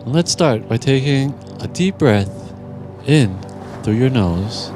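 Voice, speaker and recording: A man narrating a guided meditation in a gentle, soothing, deliberate manner with long pauses. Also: medium pitch, expressive. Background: music.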